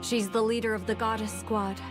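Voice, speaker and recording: A woman's voice: on the high side, flat delivery, fairly quick, over music, lightly acted. A woman voicing an efficient young woman researcher in a game, explaining things in a precise way. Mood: informative. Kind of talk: game voice acting.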